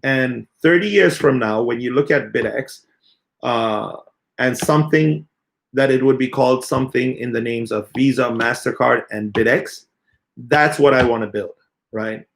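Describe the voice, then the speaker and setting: A man in his thirties, a company CEO, giving a halting, earnest unscripted answer full of filler sounds. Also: medium pitch, vocal fry. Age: 30s. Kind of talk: unscripted spoken answer in an AMA.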